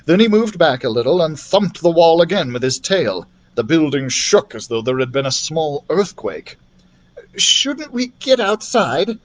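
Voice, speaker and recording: Animated man reading a children's story aloud. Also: fairly high pitch, normal speed, hardly any pauses. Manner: animated, acts out the characters' dialogue. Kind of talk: expressive read-aloud narration of a children's fantasy story.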